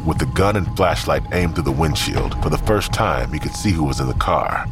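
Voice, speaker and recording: A man's voice: rumbling low, expressive, normal speed. A man narrating a scripted audio drama as a storyteller. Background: music.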